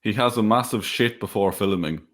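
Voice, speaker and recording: A man's voice: lowish voice, moderate pace. A man co-hosting a podcast who reads out questions and chats in a dry, teasing way. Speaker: co-host reading questions. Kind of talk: casual chat.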